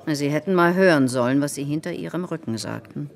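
A woman's voice: sits low, brisk, gravelly, some chatter behind. An actress playing a domineering, scheming society hostess in a radio play, insistent and theatrical in her mock concern. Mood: insistent, coaxing. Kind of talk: German radio play acting.

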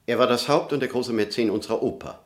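An actor playing a formal, businesslike and impatient corporate emissary in a German radio play. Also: male voice, mid-range voice, quick pace, close to an everyday voice. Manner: formal, precise, businesslike, pressing. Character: brisk, formal, impatient male corporate emissary from New York.

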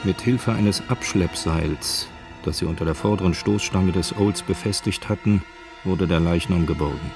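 Deep-voiced male narrator over music. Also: normal speed. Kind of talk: scripted literary narration in a radio drama.

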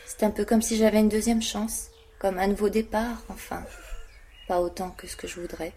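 A woman's voice: mid-range voice, fairly even intonation, normal speed, mellow, slight background noise, close to an everyday voice. A woman playing an anguished, protective young mother in an audio drama, speaking earnestly. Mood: tender. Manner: earnest, sorrowful. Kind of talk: dramatic monologue in an audio play.

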